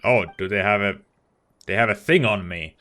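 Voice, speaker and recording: A man's voice: lowish voice, normal speed, pushed and bright. A man giving casual gameplay commentary in a laid-back, offhand way. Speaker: let's player.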